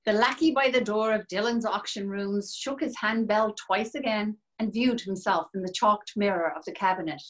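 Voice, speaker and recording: A woman reading a novel passage aloud in measured narration, over a video call. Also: medium pitch. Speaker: actor and reader. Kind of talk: dramatic literary reading.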